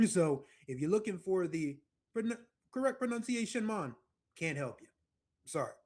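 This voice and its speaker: An American man in his forties talks through a music episode in a loose, chatty way, wandering into asides and correcting himself as he goes. His voice sits a little higher than most men's. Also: big pitch swings, normal speed, a little mellow. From United States. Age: 40s. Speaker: music podcast host. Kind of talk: casual music commentary.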